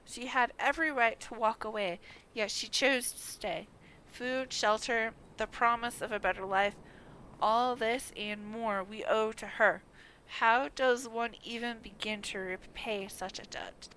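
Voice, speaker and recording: A grave clan father from a fantasy game voiced by a woman, formal, full of loss and gratitude. The voice is bright, the pace slow. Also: on the high side, steady tone, slight background noise, low-quality recording. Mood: grateful.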